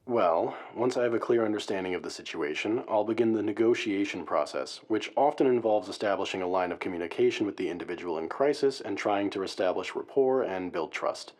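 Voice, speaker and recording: Hostage negotiator, very low voice, brisk pace, formal, serious, radio drama interview. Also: male voice, animated, darkish tone. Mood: measured, concerned. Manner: formal, analytical. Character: measured male crisis negotiator, guarded and serious. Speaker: guest expert, hostage negotiator.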